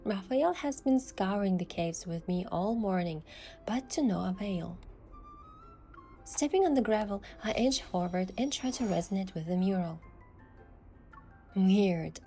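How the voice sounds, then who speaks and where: A woman's voice: mid-range voice, animated, unhurried, dark tone, soft background music. A woman giving scripted first-person narration of an adventure story as its protagonist.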